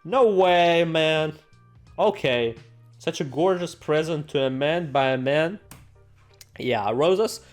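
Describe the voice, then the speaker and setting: A man's voice: fairly high pitch, lively intonation, takes it slowly. A man doing game playthrough commentary, reading the story text aloud with theatrical, sarcastic asides. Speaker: gaming video host. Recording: music behind the voice.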